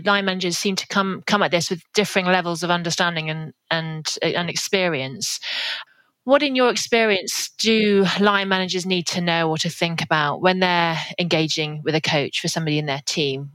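A woman co-hosting an interview, asking a careful, halting question with repeated words. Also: on the low side, a bit bright, no echo, low-quality recording.

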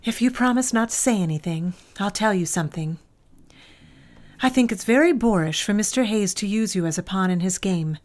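A woman's voice: medium pitch, expressive, moderate pace, darkish tone, natural voice. An actress playing a worldly, motherly female office confidante who speaks in a soothing, confiding, gossipy way. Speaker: office coworker and former secretary. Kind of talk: audio drama acting.

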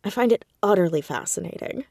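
A woman with a slightly low voice talks casually as an interview guest. She is animated and self-deprecating, quick to laugh at herself. The sound is dry, with little room in it. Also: no echo. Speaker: podcast guest, audio drama creator and writer.

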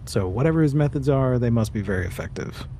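A man narrating a tabletop roleplaying game as game master, pausing mid-sentence as he improvises. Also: medium pitch, lively intonation, background noise.

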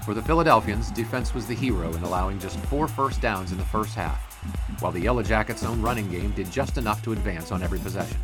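An actor playing an earnest, skeptical 1920s sportswriter in an audio drama, dry and given to wordy newspaper phrasing. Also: male voice, on the low side, fast, over music, natural voice. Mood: crisp reporting.

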